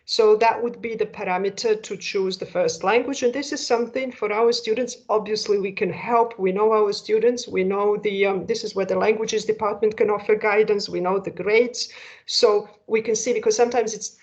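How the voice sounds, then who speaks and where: A woman walks prospective families through a school program over a video call, explaining each option with examples and rhetorical questions. Her voice sits in a middling pitch, and the call audio sounds dull and lo-fi. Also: fairly even intonation, moderate pace, darkish tone. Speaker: school presenter. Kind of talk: online information session.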